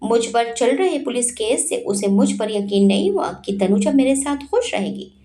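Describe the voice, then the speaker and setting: A woman's voice: fairly high pitch, lively intonation, moderate pace, dark tone, natural voice. A woman voicing a greedy, corrupt male politician in an audio story, bitter and resentful. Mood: bitter confession. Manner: snarling through gritted teeth, resentful.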